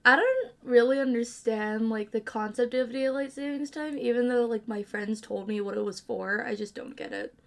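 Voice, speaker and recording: Chatty curious woman host, slightly high voice. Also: moderate pace, few pauses. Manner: chatty, curious, many likes. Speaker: podcast host. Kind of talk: casual podcast chat.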